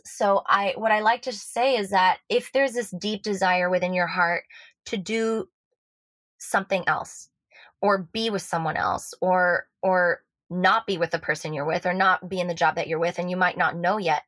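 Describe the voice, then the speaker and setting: A woman's voice: medium pitch, a bit bright, no echo. A woman from Switzerland with an American accent, a love coach guest talking earnestly in a casual podcast chat, full of fillers and restarts.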